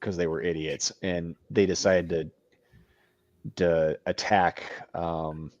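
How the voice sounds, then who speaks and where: Very deep-voiced man, passionate co-host. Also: little pitch movement, slow, a little mellow, dry recording. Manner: passionate, playful mock outrage. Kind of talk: casual discussion.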